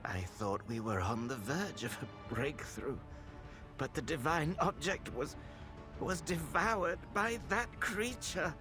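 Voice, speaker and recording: A brooding, zealous scholar of a fantasy temple, a man obsessed with immortality. His voice sits a little high and moves very slowly through formal, theatrical phrases. Music plays clearly behind him, as in a dramatic video game scene. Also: animated. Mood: weary, despairing. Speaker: video game character actor.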